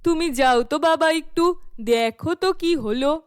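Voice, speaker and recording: A woman's voice: high-pitched, a little slow, background noise. Fussy middle-aged cartoon village mother. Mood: welcoming, eager. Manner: scolding, loud, fussy. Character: nagging, exasperated middle-aged village mother of a grown daughter. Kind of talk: animated cartoon acting.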